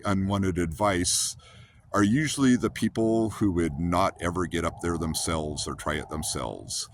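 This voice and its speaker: A man talks in a rambling, earnest way, restarting his sentences as he goes. His voice is very low and rumbly. A faint hiss sits behind him. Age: 50s. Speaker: host. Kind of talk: casual monologue.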